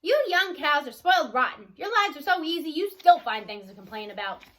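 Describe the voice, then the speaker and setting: A woman's voice: high-pitched, lively intonation, brisk, a bit bright. A woman voicing an old female cow in a storybook, stern and earnest in manner. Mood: scolding. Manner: scolding, earnest.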